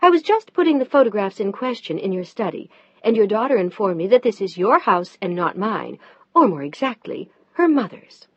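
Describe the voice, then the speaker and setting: A woman voicing a fierce, upset wife, loud, sharp and near tears. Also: mid-range voice, big pitch swings, normal speed, husky, no room sound, lo-fi sound. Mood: angry.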